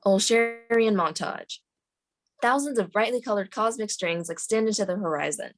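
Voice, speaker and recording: A woman with a medium-pitched voice serves as narrator at a table read. She reads out scripted scene headings and action. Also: moderate pace. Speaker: narrator reading screen directions.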